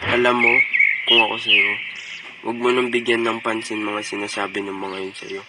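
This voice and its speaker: A man's voice: on the low side, little pitch movement, moderate pace, lightly acted. A young Filipino man playing a loyal, cheerful college friend in an amateur motion comic. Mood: supportive. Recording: very noisy amateur recording with clicks.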